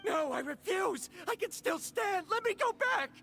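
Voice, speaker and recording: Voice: extremely high pitch, very animated, unhurried, heavy background noise, heavily acted. An actor playing a stubborn, proud young male adventurer, intense and defiant, in an anime-style video game. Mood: desperate, defiant.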